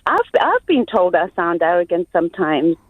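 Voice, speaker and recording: A woman's voice: lowish voice, very animated, moderate pace, dry recording. A woman from South Africa calling a radio phone-in to give her opinion earnestly, over a muffled phone line. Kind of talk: conversational opinion giving.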